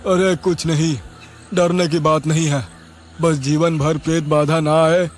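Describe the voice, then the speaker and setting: A man playing a reassuring older village father with soothing, rustic phrasing, for an animated horror story. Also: a little high, a little slow, voice pushed up, close to an everyday voice.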